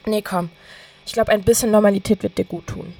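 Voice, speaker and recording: Woman playing a young best friend, average pitch, casual and caring, gently pushy, audio drama. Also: fairly even intonation, brisk, gravelly, a little hiss or hum, natural voice. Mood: caring, coaxing. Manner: casual, coaxing. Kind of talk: naturalistic audio drama dialogue.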